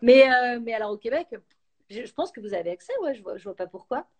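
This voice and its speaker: A woman's voice: fairly high pitch, fast, breathy edge. A woman, chatting casually and enthusiastically as a guest on a live stream. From France. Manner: lively, enthusiastic, many fillers. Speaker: actress and YouTuber, guest.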